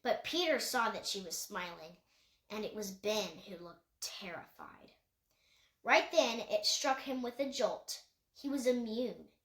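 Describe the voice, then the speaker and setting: A woman's voice: mid-range voice, expressive, a little slow, a bit bright. A woman reading a story aloud as scripted narration, with occasional stumbles and self-corrections. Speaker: audiobook reader. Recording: echoey room.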